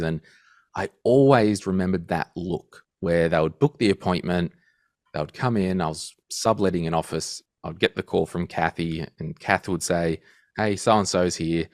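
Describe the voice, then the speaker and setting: A man in his thirties, a guest in a casual interview, telling animated stories and acting out quotes. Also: low-pitched, no echo, clean studio sound. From Australia. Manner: animated storyteller, acts out quotes. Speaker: guest financial podcaster.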